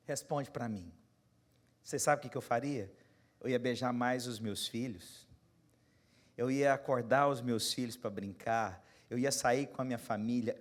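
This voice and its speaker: A Brazilian pastor in his forties preaching a sermon, moving from quiet, close asides to loud, rising exhortation and putting questions straight to his congregation. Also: sits high. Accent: Brazilian Portuguese. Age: 40s. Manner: talks straight to the congregation, asking them questions.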